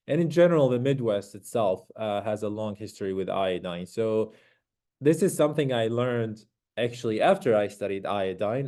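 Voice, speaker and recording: A man from Iraq, giving an academic seminar talk over a video call in an earnest, conversational way, pausing to search for words. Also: fairly low pitch, warm tone. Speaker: guest speaker.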